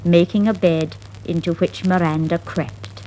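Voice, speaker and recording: A woman narrating a scripted children's fable in a dramatic, expressive storytelling style. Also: on the low side. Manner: dramatic, expressive, deliberate phrasing. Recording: noisy 1960s vinyl record.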